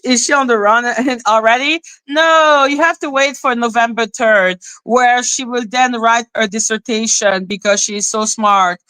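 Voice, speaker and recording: Bright-voiced woman ranting. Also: mid-range voice, clear, resonant tone, dry recording, low-quality recording. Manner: sarcastic, exasperated, rambling. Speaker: livestream host. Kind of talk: ranting live-stream commentary.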